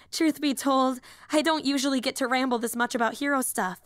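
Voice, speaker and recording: A woman's voice: high voice, steady tone, moderate pace, fairly bright tone, no echo, studio quality. A woman voicing a young, nerdy female hero fan and teacher in an audio roleplay, eager, rambling and easily flustered. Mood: earnest, rambling.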